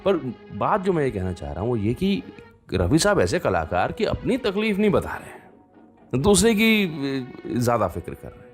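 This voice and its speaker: A man hosting a radio show, telling stories conversationally with unhurried pauses and fillers. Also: mid-range voice, huge pitch swings, faint music behind. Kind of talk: conversational storytelling radio presenting.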